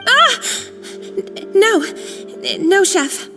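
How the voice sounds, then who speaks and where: A loyal young kitchen worker from a video game, earnest and outspoken. Her voice sits high and sounds worried. A long reverb trails it with faint music underneath. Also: very animated, very slow, full character voice.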